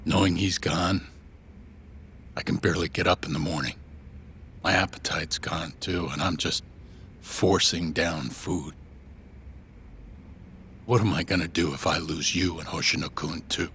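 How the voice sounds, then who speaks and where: A man's voice: low-pitched, steady tone, unhurried, vocal fry, long pauses, a bit bright, slight background noise, exaggerated voice. An actor playing a weary older law-office boss in a video game drama, speaking in a stern, blunt, fatherly way. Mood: grieving, weary. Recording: background music under the dialogue.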